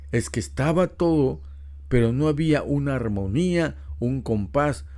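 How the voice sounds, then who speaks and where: Mellow-voiced man teaching scripture. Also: medium pitch. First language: Spanish. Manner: explanatory, unhurried, with rhetorical questions. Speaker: preacher. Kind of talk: Bible teaching talk.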